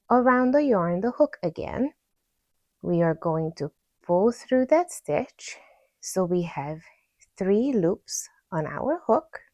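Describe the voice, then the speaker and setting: A woman's voice: fairly low pitch, a little mellow, no echo. A woman with a Hungarian accent teaching crochet step by step, patiently counting stitches aloud. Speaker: crochet instructor. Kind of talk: step-by-step tutorial.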